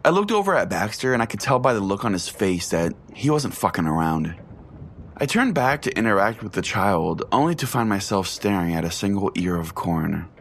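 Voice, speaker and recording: A man narrating a first-person story as a podcast host, with deliberate pauses and a dramatic delivery. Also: on the low side, big pitch swings, a little hiss or hum. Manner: deliberate, pausing. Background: music.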